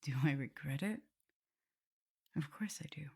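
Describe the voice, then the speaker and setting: Woman acting a low, creaky, tender vampire mistress. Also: exaggerated voice. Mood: regretful. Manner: hesitant, halting, breaking into whispers and soft laughs. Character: tender, nervous, guilt-ridden female vampire mistress in love. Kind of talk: intimate ASMR roleplay to the listener.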